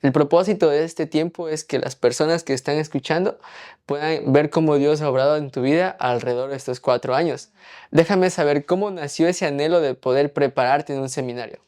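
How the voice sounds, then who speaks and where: A woman's voice: sits low, fairly quick, warm tone. A woman hosting a faith podcast, asking interview questions in an encouraging, conversational way.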